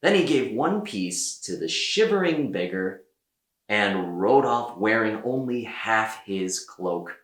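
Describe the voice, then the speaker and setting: A man narrates a children's read-aloud with expressive, dramatic pauses. His delivery is unhurried and very animated, with a bright tone in an echoey room. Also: lowish voice. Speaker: storyteller.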